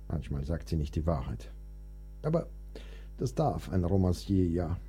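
An actor playing a touchy, indignant, melancholic composer in a historical radio play, theatrical and aggrieved. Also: male voice, deep voice, very animated, takes it slowly, warm tone, soft background music, exaggerated voice. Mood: sulky, wry. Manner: theatrical, aggrieved, swinging between outbursts and sulky asides. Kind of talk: radio play dialogue, dramatic monologue.

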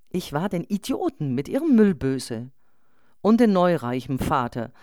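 A performer reading a personal letter as a frank, exasperated social media poster, emphatic and colloquial. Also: female voice, rumbling low, warm tone, no echo, clean studio sound. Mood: resentful. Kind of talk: read-out personal letter in a radio collage.

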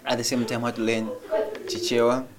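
A man's voice: medium pitch, level tone, a little fast, a loud crowd. A man from Malawi with a mid-range voice talks about himself in a self-deprecating way. He laughs easily as he speaks. His tone is casual and conversational.

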